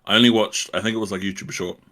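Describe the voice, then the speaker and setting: A man's voice: lowish voice, steady tone, quick pace, fairly bright tone. A young man, co-hosting a podcast in a laid-back, rambling chat that is broken by frequent laughs. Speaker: podcast co-host. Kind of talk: casual chat.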